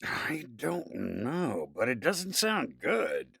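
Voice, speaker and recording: A man's voice: medium pitch, huge pitch swings, takes it slowly, creaky, pushed and bright, slightly rough, no echo, studio quality, heavily acted. An actor playing a devout, reassuring older father mouse in an audio drama, with a folksy, placid, preachy way of talking. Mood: earnest, assured.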